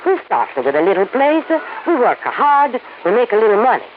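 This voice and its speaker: An actress playing a shrewd older Italian immigrant farm wife, speaking blunt broken English with an Italian accent in an old radio drama. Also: female voice, fairly high pitch, very animated, normal speed. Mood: scheming. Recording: tinny old radio broadcast with noise.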